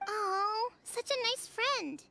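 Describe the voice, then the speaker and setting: A woman's voice: very high-pitched, expressive, slow pace, vocal fry, pushed and bright, slight background noise, heavily acted. A woman voicing a sad, aggrieved little child in an anime game, small and plaintive. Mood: timid.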